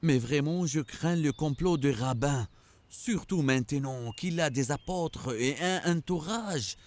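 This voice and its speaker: Man as a high-voiced cartoon believer. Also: measured pace, a little mellow, natural voice. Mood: worried. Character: devout, anxious male believer. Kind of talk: animated cartoon acting.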